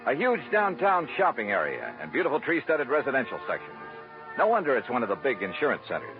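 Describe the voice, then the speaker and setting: Casting: world-weary insurance sleuth for old-time radio, brisk delivery with big melodic swings, dull vintage sound. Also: male voice, medium pitch, huge pitch swings. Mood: wry, brisk narrating. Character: hardboiled, wry male freelance insurance investigator. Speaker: lead actor and first-person narrator. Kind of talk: golden-age hardboiled radio drama acting. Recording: dull vintage radio recording, music behind.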